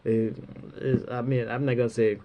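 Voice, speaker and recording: A man with a stuffy, runny nose giving a rambling spiritual talk, halting and full of fillers, often ending phrases with "man". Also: mid-range voice, flat delivery, dull audio. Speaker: religious speaker.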